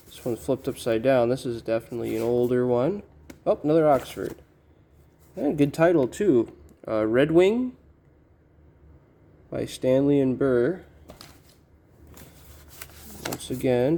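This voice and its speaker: A man giving casual, halting unboxing commentary as a collector, musing to himself in a low-key way. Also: gravelly, warm tone. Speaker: record collector presenting an unboxing.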